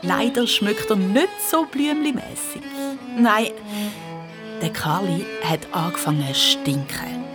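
A woman with a Swiss German accent, narrating a children's radio play in an expressive storytelling manner. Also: medium pitch, slow. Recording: music behind the voice.

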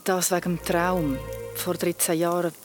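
An actress with a Swiss German accent playing a haunted, shaken woman in an audio drama, halting and earnest. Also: lowish voice, flat delivery, lightly acted. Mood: grieving, subdued. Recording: music behind the voice.

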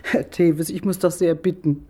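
An actress playing a firm, businesslike detective agency boss in a radio crime drama. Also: female voice, lowish voice, steady tone, normal speed, warm tone, natural voice.

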